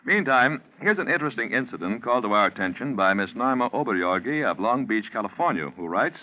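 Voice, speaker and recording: A man's voice: lowish voice, a little hiss or hum. A male announcer with an American accent reading sponsor copy in a polished, emphatic 1940s radio style. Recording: muffled 1940s radio recording.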